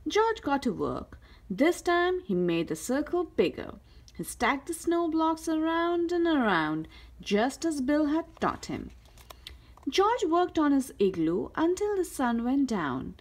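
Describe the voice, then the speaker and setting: A woman reading a children's picture book aloud as a storyteller, with careful, expressive delivery. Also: sits high, takes it slowly. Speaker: host of a read-aloud story channel.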